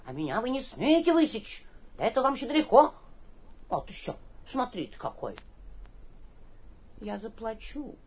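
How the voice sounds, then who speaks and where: An actor playing a flighty, cowardly young gentleman from the capital who blusters, stammers and repeats himself in a theatrical radio comedy. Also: very high-pitched, wildly swooping pitch, normal speed, pauses often, breathy edge, full character voice. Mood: sulky. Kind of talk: theatrical radio play acting of a classic comedy.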